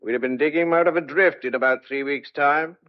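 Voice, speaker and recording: A man's voice: fairly high pitch, quick pace, close to an everyday voice. An actor playing an opinionated, teasing older country father in an old-fashioned audio drama. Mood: genial, grumbling. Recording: dull, muffled lo-fi audio.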